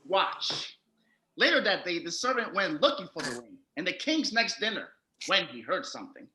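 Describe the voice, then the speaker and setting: A wry fairytale narrator, played by a performer, tells the story in a bright, lively voice. The telling is patient and storybook-like, with noticeable room echo. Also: mid-range voice, normal speed, a little hiss or hum. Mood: storytelling. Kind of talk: storybook narration in comic audio drama.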